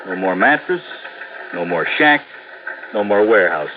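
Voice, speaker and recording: A man's voice: fairly low pitch, animated, moderate pace, lightly acted. An actor playing a brash, cocksure arson squad policeman, blunt and slangy, in an old radio drama. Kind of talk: 1950s radio drama acting. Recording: noisy, narrow old radio recording.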